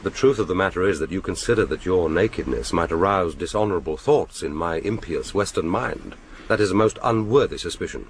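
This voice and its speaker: A man's voice: low voice, animated, fairly quick, a little hiss or hum. An actor playing a wry, urbane Western man in a radio drama, courteous and self-deprecating, with dry, mock-formal phrasing. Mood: wry, teasing.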